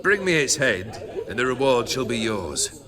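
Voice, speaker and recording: Olgierd, a jaded sardonic noble. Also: male voice, mid-range voice, sing-song, measured pace. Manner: languid, sardonic, drawling. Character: cursed jaded male noble bandit leader. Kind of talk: game cutscene voice acting. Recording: rowdy crowd of revelers behind.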